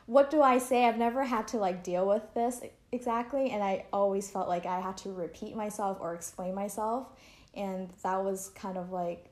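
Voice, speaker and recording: A woman's voice: medium pitch, moderate pace, darkish tone. A young woman from Canada chatting in an interview, talking loosely with lots of fillers and likes. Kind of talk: casual interview chat. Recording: echoey room.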